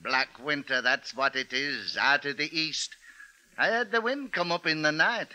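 An actor playing a folksy, chatty, skeptical village shopkeeper with an emphatic manner in a 1950s radio drama. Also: male voice, a little high, normal speed, bright, forceful tone, rough recording. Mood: chatty, genial.

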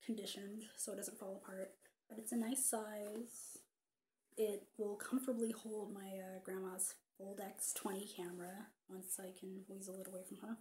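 Casting: young adult woman, mid-pitched, chatty vlogger, webcam sound with a faint hiss. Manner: chatty, animated, many ums and asides, whispered quips. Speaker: vintage fashion vlogger. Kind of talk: casual haul vlog. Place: living room, seated on a sofa. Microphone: webcam at desk distance.

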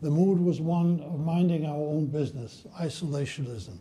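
Older man lecturing, very dark voice. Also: a little high, steady tone. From Poland. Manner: measured, solemn, reads quotations slowly. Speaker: guest lecturer. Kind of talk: prepared history lecture. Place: library lecture room with audience. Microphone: at a lectern, filmed by a far camera.

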